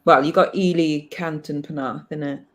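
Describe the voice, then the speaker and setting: A woman with a Cardiff Welsh accent, chatting casually as a guest in a lively, joking way. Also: low voice, normal speed. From Wales. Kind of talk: casual chat.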